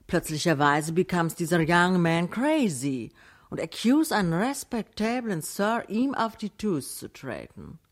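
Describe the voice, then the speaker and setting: A woman narrates a literary piece for a radio play. Her voice sits a little low, and she takes her time with a measured delivery. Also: sing-song. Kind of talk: literary recital mixing German and English.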